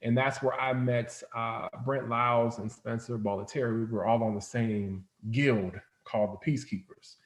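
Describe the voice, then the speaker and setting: A man's voice: mid-range voice, fairly even intonation, normal speed, hardly any pauses, reverberant. A man talking informally as an interview guest, telling stories in a rambling, conversational way. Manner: informal, rambling storytelling with frequent "like". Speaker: interview guest, comics company founder. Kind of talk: casual interview chat.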